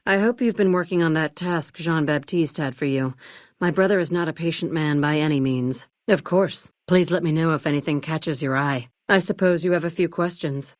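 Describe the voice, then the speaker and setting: A woman acting in a video game as a shrewd, coolly controlled arms dealer and crime-family boss, speaking in a measured, polished, commanding way. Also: low voice, dry recording, clean studio sound, close to an everyday voice. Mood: businesslike. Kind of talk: scripted game dialogue acting.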